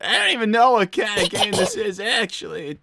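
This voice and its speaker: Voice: medium pitch, sing-song, moderate pace, creaky, loud music behind the voice. A performer voicing a flustered young boy fox cartoon character, stammering and repeating words while hosting a game livestream. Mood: flustered, puzzled. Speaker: streamer hosting a live show.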